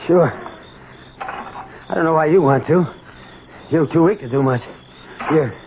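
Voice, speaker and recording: A man's voice: on the high side, unhurried. An actor playing an anxious, honest young son in a 1970s radio drama, stammering and repeating his words with emotion. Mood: worried, puzzled. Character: anxious, earnest grown son, honest working Joe. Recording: dull, faintly noisy 1970s radio recording.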